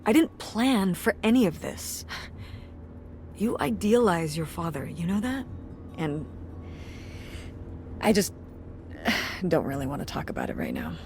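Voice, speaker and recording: A woman's voice: fairly low pitch, sing-song, a little slow, long pauses, darkish tone. A woman playing a weary, exasperated middle-aged mother in a video game, speaking naturalistically and defensively, with some hesitation. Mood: bitter, weary. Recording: clear background ambience noise.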